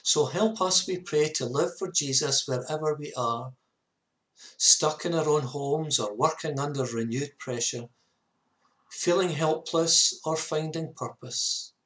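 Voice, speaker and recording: A man in his fifties, a minister giving a measured, earnest reflective sermon to camera in a small study. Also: a little high, a bit bright. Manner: measured, earnest, with short repeated phrases. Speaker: parish minister. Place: small home study, indoors.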